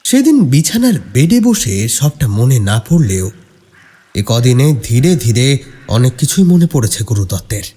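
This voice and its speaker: A man with a husky voice narrating a scripted horror story in a dramatic way. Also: medium pitch, big pitch swings, normal speed, warm tone.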